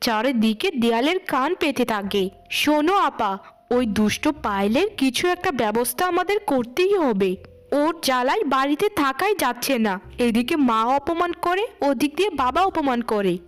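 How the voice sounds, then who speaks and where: A woman's voice: sits high, animated, moderate pace. A woman voicing a scheming, resentful young middle sister in a cartoon story, grumbling like a conspirator.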